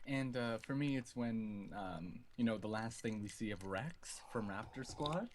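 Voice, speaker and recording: A man co-hosting a casual livestream, hesitant with many ums, now and then jumping into high silly voices. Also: lively intonation, unhurried, a little mellow, slight background noise. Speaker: livestream co-host.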